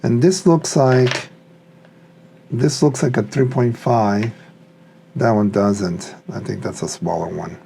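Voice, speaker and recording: A man talks slowly and tentatively, musing to himself in a casual unboxing commentary. His voice is mellow with plenty of fry, and there is some background noise. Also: medium pitch. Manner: musing, thinking aloud, tentative. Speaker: hobbyist product reviewer.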